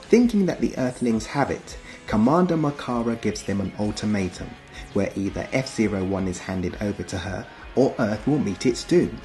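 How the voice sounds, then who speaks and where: Low-voiced man narrating. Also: lively intonation, moderate pace, mellow, faint music behind. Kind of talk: scripted documentary narration.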